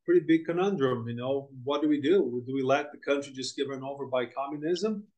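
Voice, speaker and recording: A man from Brazil, a podcast guest, talking in a halting, filler-heavy way with many restarts. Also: medium pitch, darkish tone, highs cut off. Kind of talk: casual podcast conversation.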